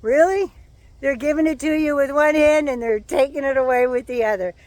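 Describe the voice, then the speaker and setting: A woman giving a sarcastic, profane political rant as a vlogger, her voice going hoarse. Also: high-pitched, brisk, a little mellow, no echo. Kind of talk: casual ranting monologue.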